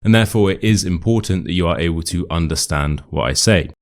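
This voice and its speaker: A man's voice: deep voice, fast. A young British man, an English teacher, talking casually to camera and rambling a bit, his voice nasal from a cold. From England. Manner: rambling, relaxed, answers questions aloud.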